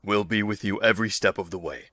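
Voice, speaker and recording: A man's voice: fairly low pitch, fast, pushed and bright, no echo. A man playing a determined, pragmatic survivor leader in an audio drama, speaking firmly and persuasively. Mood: subdued. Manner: firm, persuasive.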